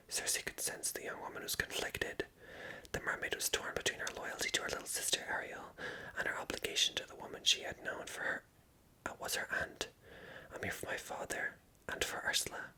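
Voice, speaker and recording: An ASMR host reading aloud in a hushed, gentle, breathy whisper with no voiced tone. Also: low-quality recording.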